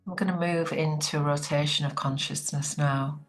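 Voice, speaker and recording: A woman leading a guided relaxation meditation in a soothing, gentle, unhurried manner. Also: low-pitched, fairly even intonation, soft background music, rough recording.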